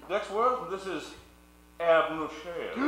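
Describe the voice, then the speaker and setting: Male actor as mysterious radio-play crook: coy, fairly high, animated, echoey humming room. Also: slow pace, heavily acted. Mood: sly, coy. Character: sly, mysterious male underworld caller. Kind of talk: comic old-time radio play acting.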